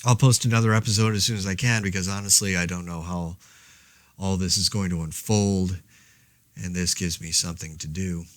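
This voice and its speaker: An actor playing a laid-back man podcasting alone through a zombie outbreak, rambling in a wry, deadpan, hesitant way. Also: low voice, fairly quick, dry recording. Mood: resigned, friendly. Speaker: podcast host. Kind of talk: casual monologue, fictional audio diary.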